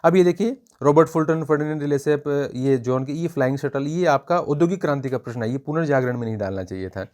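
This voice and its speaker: A man giving an informal spoken review for students as an exam coach, explaining in a measured way and pointing out examples. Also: on the high side, darkish tone.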